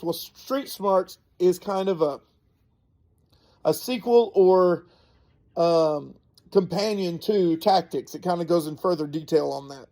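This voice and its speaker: Slow-talking male podcast host, slightly high voice. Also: darkish tone. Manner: halting, self-correcting. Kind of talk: informal solo podcast talk with earnest preaching.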